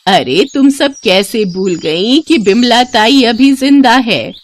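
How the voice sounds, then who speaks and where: A woman voicing a bossy, spirited elderly village aunt in a story, scolding with drawn-out, emphatic words. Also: a little high, lively intonation. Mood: rallying, scolding. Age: older. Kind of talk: storybook character voice.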